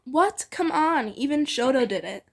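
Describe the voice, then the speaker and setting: A perky teenage girl from a fan story voiced by a woman, high in pitch. She is bossy and teasing, pushing her friends along playfully. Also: animated, unhurried. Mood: coaxing.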